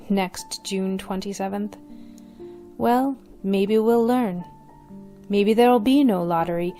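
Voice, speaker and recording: A woman narrating a radio drama in a solemn, deliberate manner. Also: medium pitch, warm tone, natural voice.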